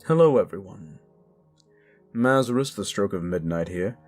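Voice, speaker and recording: A man's voice: low-pitched, animated, moderate pace. A man giving a chatty, upbeat, casual update to listeners as an audio story creator, with music behind him.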